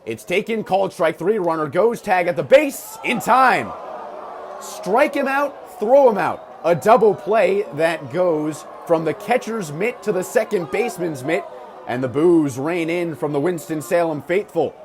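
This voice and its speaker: A man's voice: high voice, expressive, unhurried. An American man calling live baseball on the radio, mixing punchy, clipped calls with stat-filled asides. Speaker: baseball radio play-by-play announcer. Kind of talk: live sports commentary. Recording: clear ballpark noise behind the voice.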